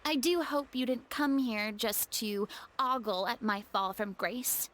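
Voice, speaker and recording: A proud, theatrical fallen goddess in a game, speaking in a fairly high voice with formal, haughty phrasing. Her delivery stays grand even as she turns down a request. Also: measured pace. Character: proud former goddess, dramatic young woman, haughty. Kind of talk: game voice acting.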